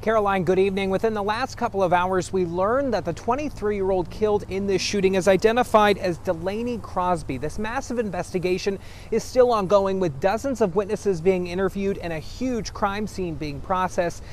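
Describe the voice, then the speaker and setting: A young man in his twenties reporting live outdoors at night in a brisk, steady news delivery. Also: sits high, slight background noise. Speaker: field reporter.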